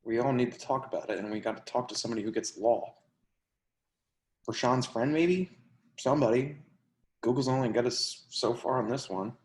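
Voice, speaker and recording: A crude, excitable young tenant acted out in a play reading, swearing freely in a middle-pitched voice. The sound is roomy, like a video call from an untreated room. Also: normal speed, long pauses, natural voice. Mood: matter-of-fact.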